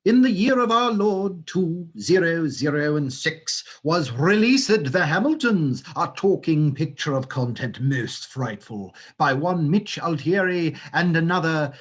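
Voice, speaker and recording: Melodramatic old-time herald, played by a man: pitched up, pompous, grandiose, drawn-out delivery for the stage. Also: lively intonation, breathy edge. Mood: grandiose, dramatic. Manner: grandiose, drawn-out, archaic oratory.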